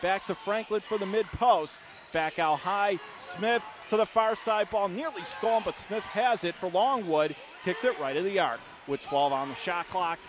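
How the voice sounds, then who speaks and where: A man doing live play-by-play basketball commentary on the radio in animated, clipped bursts. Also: high voice, moderate pace, background noise. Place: indoor basketball arena.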